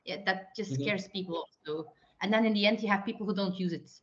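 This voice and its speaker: A woman's voice: medium pitch, fast, dull audio. A woman born in Belgium, a project manager and consultant, talking at length in a casual interview with many restarts and fillers. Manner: chatty, many restarts and fillers, talks in long runs.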